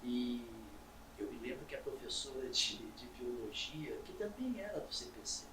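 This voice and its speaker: An older man from Salvador, a stage actor telling his story in an interview in a measured, reflective way. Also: medium pitch, sing-song, noisy background. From Brazil, Salvador. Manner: measured, reflective, with frequent pauses and "né?". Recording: roomy echo, distant mic, audible ambience.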